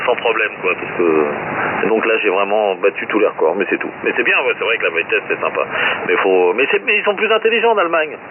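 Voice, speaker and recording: A man's voice: fairly low pitch, very animated, quick pace. A man rambles in a blunt, slangy, grumbling way as an amateur radio operator, his voice coming over a two-way radio. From France. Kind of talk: casual radio chat, rambling and opinionated. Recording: narrow, very noisy amateur radio transmission.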